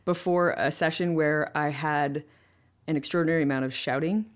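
A woman voice actor telling a story in an interview, animated and loose, with plenty of "like" and "um" fillers. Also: sits low, moderate pace. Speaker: voice actor guest. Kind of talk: anecdotal interview chat.

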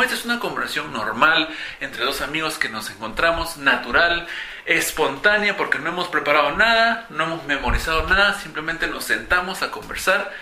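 Bright-voiced male teacher in an echoey room. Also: fairly high pitch. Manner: clear, teacherly, explains terms. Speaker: online English teacher and host. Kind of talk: bilingual lesson intro and interview. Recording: echoey room, faint noise.

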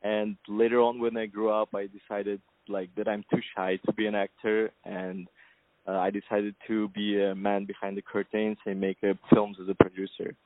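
A man from Kazakhstan talks over a thin, tinny phone line, his voice low and flat. He speaks hesitantly, with many fillers, as a film producer answers an interviewer. The line sounds dry and close. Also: moderate pace, no room sound. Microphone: telephone.

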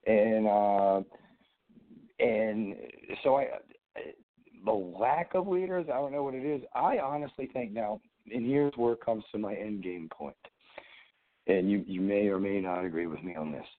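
A man with a sore, froggy throat calls in on a thin phone line, his voice sitting fairly low. He rambles, restarting his sentences and leaning on fillers as he makes his point. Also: unhurried. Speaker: caller, fellow show host.

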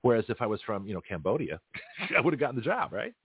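Fast-talking low-voiced guy, kinda husky, rambly radio host, sounds like over the phone. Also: big pitch swings, no room sound. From Canada. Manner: rambling, with frequent restarts and chuckles. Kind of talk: casual political talk radio monologue. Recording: tinny phone-line audio.

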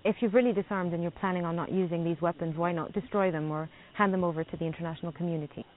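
A woman field reporter talks quickly and asks pointed questions. Her voice is mid-pitched, heard through a narrow, phone-like sound. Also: steady tone, crowd noise. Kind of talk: news interview and field report. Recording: tinny phone-like playback.

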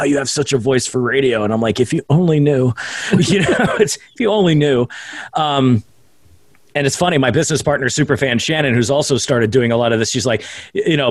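A man's voice: medium pitch, no echo. A young adult man, a self-taught radio broadcaster, chatting as a guest in a casual interview with jokey, self-deprecating asides. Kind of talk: casual podcast interview chat. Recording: dry close sound.

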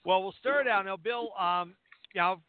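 A thin phone-like sound frames a man with a high-pitched voice. He works as a radio interviewer, casual and agreeable. He feels his way haltingly through questions and repeats little phrases. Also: noisy background. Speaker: radio show co-host, interviewer. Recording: narrow phone-like line.

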